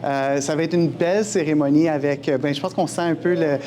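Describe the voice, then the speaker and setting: A man's voice: a little high, brisk, a crowd in the background. Hesitant man speaking outdoors. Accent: Franco-Ontarian French. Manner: hesitant, many fillers. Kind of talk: interview answers.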